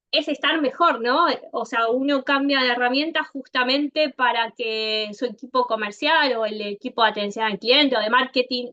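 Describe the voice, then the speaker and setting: Woman, Argentine accent, bright forward tone, conversational presenter, frequent fillers, lo-fi muffled sound. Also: high voice. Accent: Argentine Spanish. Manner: conversational, many "eh" fillers, addresses the audience directly. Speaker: Salesforce consultant giving a conference talk. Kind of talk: online conference presentation.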